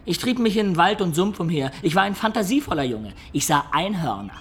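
Imaginative peasant-born storyteller man in a radio play, bit higher voice, reflective n expressive, some background noise. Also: a little fast, full character voice. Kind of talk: German radio play, reminiscing narration.

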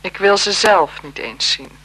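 An actress playing an anxious, guilt-ridden French marquise in a radio drama, speaking formally in halting phrases. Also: female voice, mid-range voice, expressive, a bit bright, rough recording. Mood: tense, resigned. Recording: 1970s radio recording with noise.

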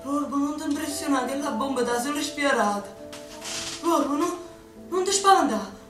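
A woman's voice: sits high, moderate pace, close to an everyday voice. A young woman with a Neapolitan accent acting a brave, tender partisan girl in a radio drama. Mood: tender hushed. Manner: pleading, emotional, dialect-heavy delivery. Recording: roomy, distant mic, music underneath.

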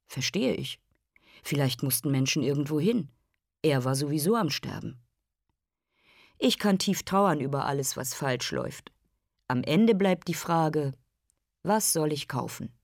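An actress playing a self-accusing, brooding consumer in a literary radio drama monologue, in clipped, declarative phrases and lists. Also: female voice, low voice, a little mellow, no room sound, clean studio sound. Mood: brooding, detached.

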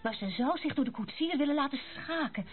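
A woman's voice: sits high, lively intonation, brisk. An actress playing a prim young society lady who gossips in a dismissive, catty way in a radio play. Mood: gossipy. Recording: tinny, narrow old radio play recording.

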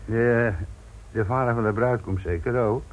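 A man's voice: mid-range voice, fairly even intonation, normal speed, natural voice. Radio play: stubborn male inspector, man acting, mid-range voice, sardonic and probing, slightly lo-fi sound. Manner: dry, probing, ironic. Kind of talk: Dutch radio drama acting. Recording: dull, band-limited old radio recording.

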